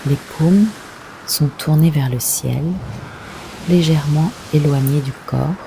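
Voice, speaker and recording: A woman leading a guided yoga nidra meditation, giving gentle, unhurried instructions with long pauses. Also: deep voice, gravelly, noisy background.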